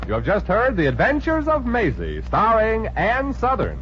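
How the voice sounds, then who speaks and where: An American man serves as announcer on a 1950s radio programme. His scripted delivery is high and extremely animated in pitch. Loud music plays behind him on the dull old recording. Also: moderate pace.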